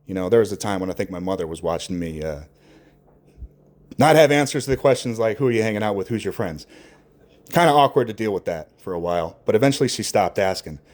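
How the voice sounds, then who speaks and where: Low-voiced man, halting and candid. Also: a little mellow. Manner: halting, reflective, searching for words; swears casually. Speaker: podcast host. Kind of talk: candid unscripted monologue, casual and confessional.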